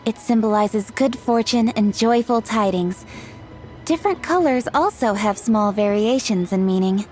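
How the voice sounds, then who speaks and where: An actress playing a poised, earnest young woman official in a video game, speaking in an articulate, measured, explanatory way. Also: on the high side, darkish tone, lightly acted. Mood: thoughtful, earnest. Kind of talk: scripted video game dialogue acting. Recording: music behind the voice.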